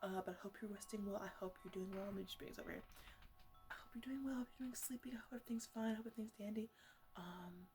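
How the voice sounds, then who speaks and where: A woman's voice: medium pitch, racing, darkish tone. Woman, ASMR creator, casual rambling chat, playful, music and chimes in the background.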